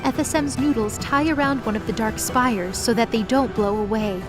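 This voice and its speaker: A woman's voice: a little high, normal speed, over music. A woman narrating a scripted audio drama in the present tense as its storyteller.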